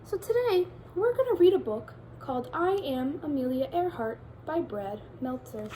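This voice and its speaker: A woman with a fairly high voice reads a children's book aloud in an expressive style. She speaks straight to young listeners as a teacher would, over some background noise. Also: moderate pace. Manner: addresses young children directly with questions.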